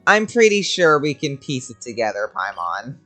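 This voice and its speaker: A man's voice: mid-range voice, sing-song, moderate pace, bright, forceful tone, faint music behind. A man giving casual, sweary commentary while playing a video game. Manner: swears freely, chuckly.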